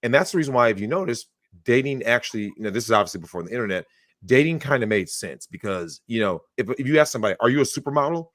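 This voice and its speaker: A man's voice: mid-range voice, animated, very fast, a bit bright, dry recording, studio quality. Emphatic man with blunt livestream opinions. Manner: emphatic, acts out quoted voices, swears. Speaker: guest.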